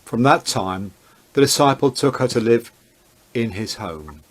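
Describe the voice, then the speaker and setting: A man narrating a dramatized Bible reading in a measured, deliberate way. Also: mid-range voice, lively intonation, soft background music, rough recording.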